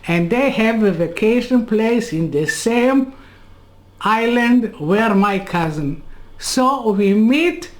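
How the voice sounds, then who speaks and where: Woman, 80s, from Serbia; very slow, halting non-native English with rambling asides; webcam interview from home. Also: fairly high pitch, lively intonation. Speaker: Holocaust survivor interviewee. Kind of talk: personal life story told in an interview. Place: home room lined with bookcases. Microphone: webcam on a video call.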